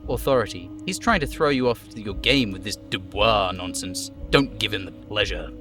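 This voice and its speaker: A man's voice: fairly low pitch, normal speed. A man streaming a game, giving a live dramatic reading of its narration and dialogue. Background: faint music.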